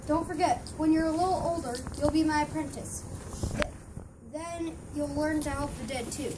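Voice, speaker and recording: High-voiced Egyptian father, read by a woman. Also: moderate pace, natural voice. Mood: hesitant, fatherly. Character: patient Egyptian father, embalmer priest teaching his children. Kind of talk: amateur readers theater. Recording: distant mic, noisy room ambience.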